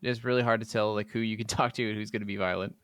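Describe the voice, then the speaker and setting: Clear-voiced man chatting casually, dry sound. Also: lowish voice, steady tone, racing, a bit bright, dry recording. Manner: informal, swears casually. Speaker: gaming podcast co-host. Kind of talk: casual chat about video games.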